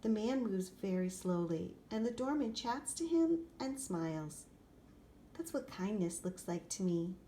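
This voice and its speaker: A woman's voice: mid-range voice, expressive, unhurried, mellow. Woman reading a storybook, noisy recording. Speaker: storybook reader. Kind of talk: expressive children's picture-book read-aloud. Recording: clear background noise, room ambience.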